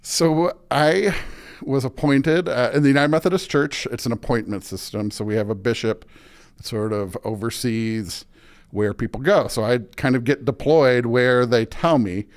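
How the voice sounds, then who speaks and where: A man's voice: mid-range voice, normal speed, clean tone. A middle-aged man with a Midwestern American accent, talking casually and anecdotally as an interview guest, often dropping in "like". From suburban Illinois, United States. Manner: chatty, anecdotal, frequently says "like".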